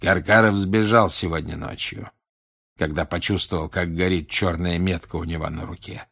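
A man voicing a grim older wizard in an audiobook, questioning in an insistent, deliberate and menacing way. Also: low-pitched, steady tone, creaky, a bit bright, slightly rough, exaggerated voice. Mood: quiet, menacing. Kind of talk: audiobook character acting.

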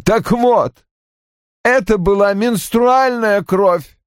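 A man's voice: high voice, very animated, unhurried, dry recording, studio quality, voice pushed up. A man voicing a bitter, brusque older father in an audiobook, irritable and defensive, with cold, emphatic delivery. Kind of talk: audiobook character acting.

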